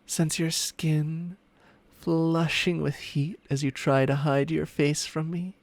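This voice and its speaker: A man's voice: on the high side, level tone, takes it slowly, no echo. A man playing a smug, possessive ancient dragon in human form, teasing and condescending in an intimate ASMR roleplay with formal, archaic phrasing. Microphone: close microphone, spatial ASMR recording.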